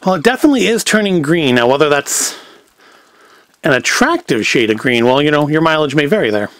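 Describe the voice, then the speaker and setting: Casual man with swooping, lively pitch. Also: fairly quick, no echo. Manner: wry, self-questioning, thinking aloud. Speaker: host of a food review video. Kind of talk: casual rambling cooking commentary. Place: indoors at home, at a table and later in a kitchen.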